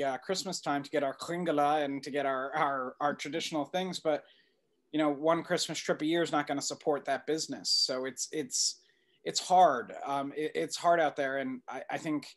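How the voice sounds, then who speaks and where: Halting middle-aged man, slightly high voice. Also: steady tone. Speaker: documentary filmmaker being interviewed. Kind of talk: conversational interview answers. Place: indoor room on a video call. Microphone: computer mic on video call.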